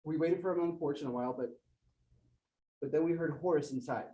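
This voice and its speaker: A man's voice: a little high, quick pace, mellow, muffled recording, close to an everyday voice. An actor playing a shaken young man who stammers as he retells a violent fight, in a stage play. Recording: roomy, distant from mic.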